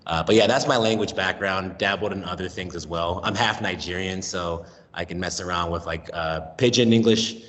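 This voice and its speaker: American guy in his 30s chatting on a remote call, lowish voice, kinda echoey with a long tail, gets animated doing little voices. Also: normal speed, hardly any pauses. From United States. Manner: animated, acts out voices and accents in his stories. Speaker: guest, language teacher. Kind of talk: casual interview talk.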